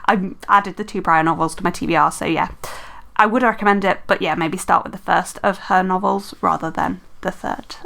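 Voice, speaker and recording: A woman's voice: lowish voice, normal speed, gravelly, a bit bright. A young woman chatting casually in a voice-over, rambling with lots of ums and corrections, her throat a little dry. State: slightly dry throat from dehydration. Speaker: planner vlogger. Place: quiet home room.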